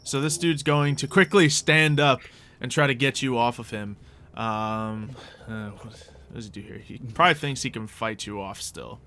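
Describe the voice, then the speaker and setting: A man with a bright, keen-edged voice runs a tabletop game. He improvises the action and talks through the rules casually. A light layer of insect-like ambience sits behind his medium-pitched voice. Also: moderate pace, a little hiss or hum. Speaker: game master.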